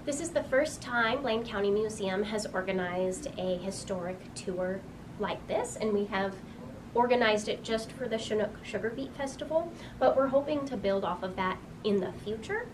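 Earnest young woman, off-mic. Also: medium pitch. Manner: earnest and informative, with frequent "um" fillers. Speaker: museum director. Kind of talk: informal on-camera explanation to a visitor. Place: small museum room indoors. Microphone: camera a few feet away. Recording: distant mic with clear room noise.

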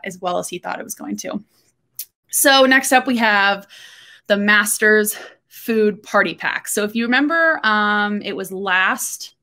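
A young woman from Texas chats straight into her webcam from a room at home. Her voice is bright and forward, and she talks candidly with quick joking asides, like a golf podcast co-host running through the week's news. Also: mid-range voice. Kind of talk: casual solo talk to camera.

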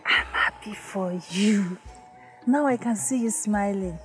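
Reassuring young Nigerian friend. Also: female voice, mid-range voice, slow pace, fairly bright tone, soft background music. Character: supportive, reassuring young woman, a confiding friend. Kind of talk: film drama acting. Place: outdoors among trees.

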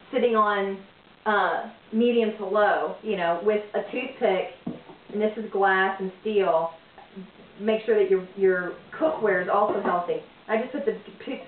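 Woman in her forties, chatty home-cook host, slightly high voice, far from the camera in a boomy kitchen, thin phone-like sound. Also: a little hiss or hum. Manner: chatty, rambling, self-correcting; moves around the kitchen while talking. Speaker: home cooking vlogger. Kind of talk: casual cooking demo. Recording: echoey, distant, tinny phone-like sound.

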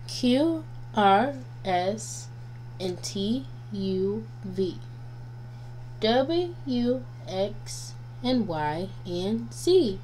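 Slow-reading woman with noisy hum behind. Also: mid-range voice, darkish tone. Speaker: guest storybook reader. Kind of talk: nursery rhymes read aloud for children. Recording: noisy home recording with hum.

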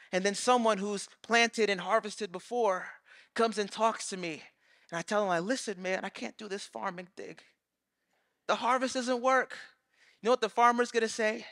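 A man in his thirties preaching a sermon on a large church stage, animated, acting out quoted voices and swinging between loud and soft. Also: high voice, no echo, studio quality. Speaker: pastor.

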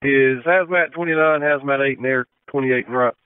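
A male fire dispatcher relays details to crews by radio. His voice is clear and of average pitch. The channel is dry and narrow, like a phone line. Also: normal speed, no echo.

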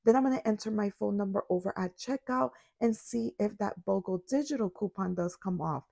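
A young woman recovering from a cold talks through a grocery couponing haul in a relaxed voiceover. Her voice is raspy with a gravelly fry. The recording is dry and close. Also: medium pitch, a little fast, no echo. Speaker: couponing vlogger. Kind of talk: casual shopping voiceover. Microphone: voiceover mic.

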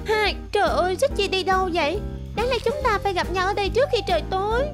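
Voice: extremely high pitch, fast talker, music in the background. An actor voicing a grumbling, impatient child dinosaur trainer in a children's cartoon dub.

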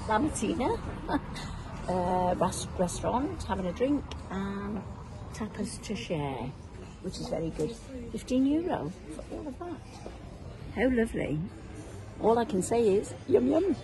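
A woman's voice: medium pitch, big pitch swings, a little slow, crowd noise, low-quality recording. An older woman presenting a casual travel vlog outdoors, chatty and enthusiastic, recorded on a handheld phone. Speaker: travel vlogger. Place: outdoors in a sunny town and cafe terrace. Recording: outdoor phone recording with loud background noise.

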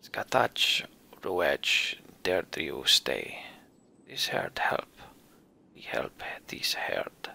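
A man's voice: extremely low pitch, big pitch swings, very slow, vocal fry, sharp tone, in a whisper, pitched below the performer's own voice, full character voice. A man voicing a proud, earnest horse-man warrior from a game, speaking in short, broken fragments. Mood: solemn, halting.

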